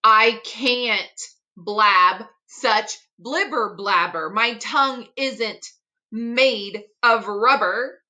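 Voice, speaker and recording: Woman reading as exasperated, high-voiced storybook fellow. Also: drawn-out words, pushed and bright, full character voice. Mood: flustered. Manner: exasperated, pleading, polite. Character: flustered, protesting male storybook character Mister Knox.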